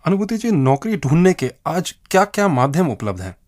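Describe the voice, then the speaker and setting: A man's voice: a little high, very animated, fairly quick, darkish tone. A man asking formal, prepared questions as an interviewer.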